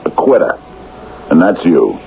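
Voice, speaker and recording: An actor playing a hard, domineering football coach in a radio drama, goading and commanding in clipped phrases. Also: male voice, deep voice, sing-song, a little slow, gravelly, heavily acted. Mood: cold, goading. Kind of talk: 1970s radio drama acting. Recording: tinny, noisy vintage radio broadcast.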